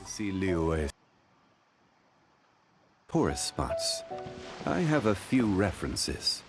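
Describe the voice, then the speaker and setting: An actor playing a calm, measured, dignified man in a video game. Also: sits low, music in the background, full character voice. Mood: composed.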